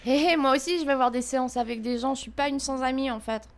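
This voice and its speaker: A woman's voice: sits high, quick pace, creaky. A young woman with a Parisian French accent, co-hosting a casual chat, teasing and blunt. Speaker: co-host.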